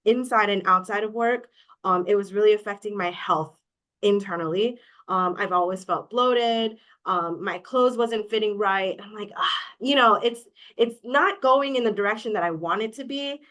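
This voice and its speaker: A woman from Hawaii talks as a guest in a relaxed interview. She speaks candidly and openly, often starting her sentences with "um". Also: medium pitch, normal speed. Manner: open, candid, with many fillers. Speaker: guest, coaching client. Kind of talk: casual interview.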